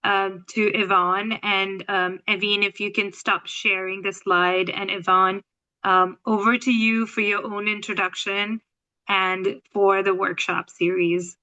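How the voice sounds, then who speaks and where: A woman's voice: mid-range voice, little pitch movement, measured pace, pushed and bright, low-quality recording. A woman moderating an online event, politely handing over to the speaker with a few fillers. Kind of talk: introducing a speaker.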